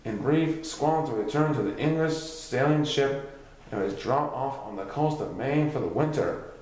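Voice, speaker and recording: A man's voice: mid-range voice, normal speed, darkish tone. A man reading a written history story aloud as a narrator, occasionally stumbling over a word. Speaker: reader. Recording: very echoey, reverberant room.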